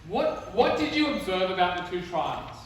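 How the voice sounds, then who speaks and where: A high-pitched man gives an informal youth group talk in a conversational way. The sound is heavily echoey and distant from the mic, with faint noise behind it. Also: animated, normal speed. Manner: conversational, addressing the group with questions.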